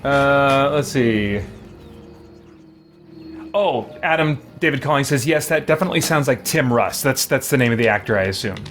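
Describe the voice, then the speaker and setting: A man giving casual, halting live-stream commentary on a game, thinking aloud as he goes. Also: medium pitch, expressive, fairly bright tone, slight background noise.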